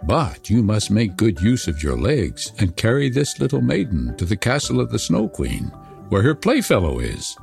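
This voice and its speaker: A man's voice: fairly low pitch, huge pitch swings, normal speed, creaky, darkish tone, faint music behind, heavily acted. A man voicing a fierce, wild little robber girl who talks in a bossy, threatening way.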